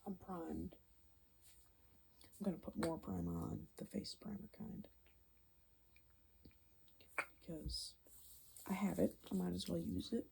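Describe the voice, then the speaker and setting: Woman ASMR host: gravelly, dark soft-spoken voice with whispery stretches, chatty and meandering. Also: lowish voice, steady tone, slow pace, long pauses, poor audio. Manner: rambling, conversational, confiding. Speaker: ASMR video creator and host.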